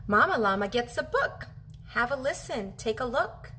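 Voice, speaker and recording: A woman in her thirties reading a children's picture book aloud as a teacher, animated and expressive, drawing out her phrases for young listeners. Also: fairly high pitch, moderate pace, a little hiss or hum. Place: home kitchen, indoors.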